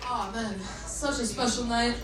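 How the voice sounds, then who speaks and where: A woman in her twenties from Massachusetts, a saxophonist bandleader chatting excitedly with a club crowd between songs, laughing often. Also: fairly high pitch, unhurried. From Massachusetts, USA. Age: 20s. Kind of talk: live stage banter between songs. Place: live music club on stage. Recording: echoey club, distant mic, loud crowd and noise.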